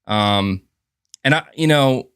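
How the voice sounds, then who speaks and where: Brief: male livestream host, mid-pitched voice, relaxed and talkative, laughs easily. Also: takes it slowly, clean tone. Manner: chatty, chuckles often, says "dude" and "man". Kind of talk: casual livestream commentary.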